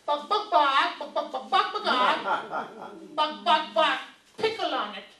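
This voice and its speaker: A man's voice: very high-pitched, sing-song, slow pace, bright, forceful tone, pitched above the performer's own voice, exaggerated voice. A man voicing a silly clucking magic chicken for a live children's puppet story, in squawky repeated clucks. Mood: silly, excited. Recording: echoey room, far from the mic.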